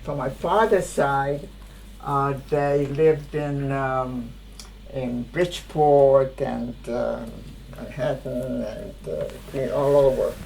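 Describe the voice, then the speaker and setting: An older woman, a native Hungarian speaker, giving spoken testimony in a halting way, often searching for words. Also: a bass voice, fairly even intonation, creaky, darkish tone, a little hiss or hum, low-quality recording. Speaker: interviewee.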